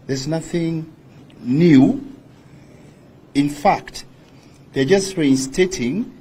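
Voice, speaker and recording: A Kenyan man speaking as a politician at a press conference, deliberate, pausing between short phrases. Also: fairly high pitch, darkish tone, slight background noise. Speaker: opposition politician.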